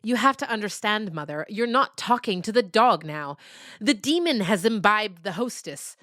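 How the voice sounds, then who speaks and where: A woman's voice: medium pitch, animated, normal speed, a bit bright, no room sound, studio quality, voice pushed up, close to an everyday voice. Woman voicing a solemn young goat. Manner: formal, solemn.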